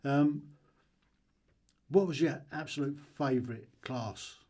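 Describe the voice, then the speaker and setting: Mellow-voiced male podcast host. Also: mid-range voice, slow. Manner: relaxed, questions with hesitant restarts and fillers. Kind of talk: casual interview.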